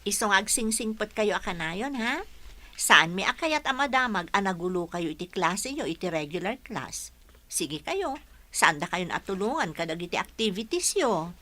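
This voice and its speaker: A woman's voice: mid-range voice, very animated, moderate pace, sharp tone. A young woman playing a hopeful college graduate and aspiring teacher in an Ilocano radio drama. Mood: caring. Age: young adult.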